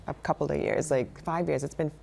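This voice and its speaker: A woman from Trinidad and Tobago with a light Trinidadian accent, speaking as a guest on a morning TV show. Her voice sits fairly low with a gravelly edge. She talks earnestly, stopping and restarting with plenty of ums. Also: fairly even intonation, a little mellow. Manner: earnest, halting with many fillers and restarts. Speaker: knitwear designer guest. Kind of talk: live TV interview.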